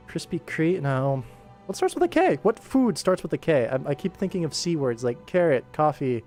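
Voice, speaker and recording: A man's voice: a little high, sing-song, normal speed, a little mellow. A man streaming his animation work, thinking aloud casually and rambling to himself as he goes. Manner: rambling, muttering to himself while working. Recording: background music behind voice. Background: game soundtrack music.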